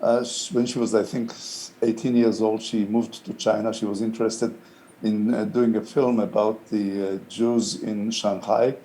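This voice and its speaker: An older man with a low, mellow voice speaks English as a second language, his first being Hebrew. He talks slowly and thoughtfully, often pausing on fillers as he gathers his words. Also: level tone, poor audio. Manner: thoughtful, halting, many "uh" fillers. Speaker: interviewed playwright.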